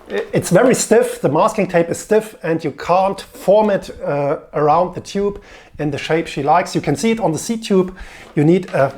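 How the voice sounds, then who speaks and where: A man commenting on a bike painting video, explaining tape and materials in an instructive, slightly halting way. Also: high voice, darkish tone.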